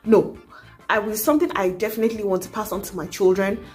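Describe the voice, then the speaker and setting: A woman's voice: medium pitch, fairly even intonation, quick pace, over music. A young adult woman, talking earnestly to camera in a casual advice vlog, urging and coaxing with many asides. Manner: earnest, exhorting, frequent "you know". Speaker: vlogger.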